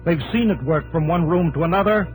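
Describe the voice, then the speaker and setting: An actor playing a bitter, weary inventor professor in his fifties, halting and brooding, in a 1950s radio drama. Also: on the high side, natural voice. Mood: bitter, frustrated. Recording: old radio broadcast, music behind.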